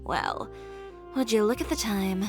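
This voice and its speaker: An actress voicing a frank, confident young fashion designer in an anime-style video game, composed and dry. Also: female voice, mid-range voice, a little slow, close to an everyday voice. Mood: composed, assured. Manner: composed, dry, matter-of-fact. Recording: music playing behind the voice.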